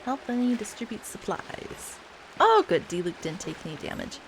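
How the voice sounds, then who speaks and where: A woman's voice: mid-range voice, normal speed, darkish tone, a little hiss or hum, low-quality recording. A woman giving casual commentary in short asides as she plays a video game. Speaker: gaming video host. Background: game music.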